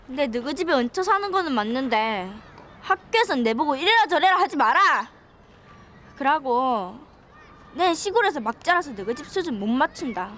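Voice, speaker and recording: A woman's voice: high voice, a bit bright, a little hiss or hum. An actress playing a feisty, outspoken country schoolgirl with a Gyeongsang Korean accent in a children's drama. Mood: defiant. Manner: blunt, scolding, defiant.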